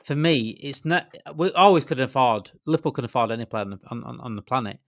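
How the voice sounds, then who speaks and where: A man in a casual football chat, speaking wryly with halting, restarted phrases. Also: mid-range voice, expressive, no room sound, clean studio sound. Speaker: football fan show panelist.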